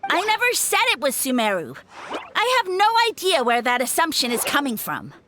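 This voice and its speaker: A pompous mushroom spirit holds court, played by a woman. The voice sits high and bright and leaps up and down with showy grandeur, dodging awkward questions in a video game scene. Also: huge pitch swings, moderate pace, a little hiss or hum, heavily acted. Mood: huffy. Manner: grandiose, evasive, theatrical. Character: boastful male mushroom spirit, self-styled savior. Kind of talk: game voice acting.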